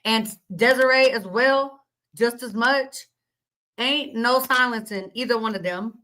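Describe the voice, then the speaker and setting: A woman with a slightly raspy voice hosting a casual live stream, reading chat comments and talking bluntly, with plenty of profanity and laughter. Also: fairly high pitch, unhurried, sharp tone, rough recording.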